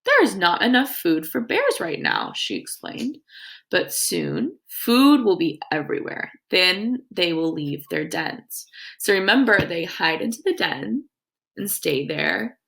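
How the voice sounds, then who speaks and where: A woman teacher with a creaky voice reading aloud to young children in an instructive way, with her own comments and questions. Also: medium pitch, wildly swooping pitch, unhurried, fairly bright tone.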